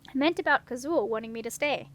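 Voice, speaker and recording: Woman reading as an earnest high-voiced young princess. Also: quick pace, a bit bright, natural voice. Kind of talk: storybook character reading.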